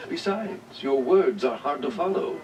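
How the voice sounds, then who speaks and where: A man narrates a children's storybook record in a sing-song, very animated way, his pitch leaping all over. He sounds set back from the microphone on an old archival recording, with clear music playing under him. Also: moderate pace, recorded from a distance. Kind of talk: scripted read-along narration with character voices.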